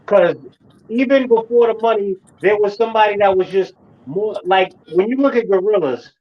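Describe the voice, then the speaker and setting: A man's voice: sits high, normal speed, muffled recording. A man in his forties talking casually, repeating phrases for emphasis as he makes his point. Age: 40s. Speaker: host. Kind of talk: casual chat.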